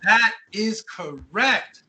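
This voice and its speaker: A high-pitched, bright voice of an author leading a children's read-aloud. The pitch swings in a lively way through questions and repeated answers. The delivery is encouraging and interactive, full of little check-ins. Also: very slow, slight background noise. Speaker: author reading own children's book and quizzing children.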